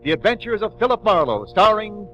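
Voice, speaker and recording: A male radio announcer delivering a 1940s sponsor introduction in a booming, showy way. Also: very animated, a little fast, bright, forceful tone. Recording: dull 1940s radio broadcast with music.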